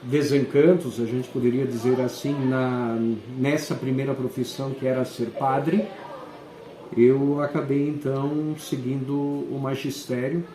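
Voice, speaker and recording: A man, a school principal answering interview questions and often pausing to search for words. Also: medium pitch, level tone, dark tone, a little hiss or hum. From southern Brazil. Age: 40s. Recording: echoey room.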